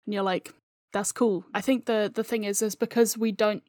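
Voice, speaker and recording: Woman, mid-pitched voice, casual interview talk, animated explainer, dry close sound. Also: moderate pace, no room sound. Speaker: podcast guest, audio fiction writer and editor.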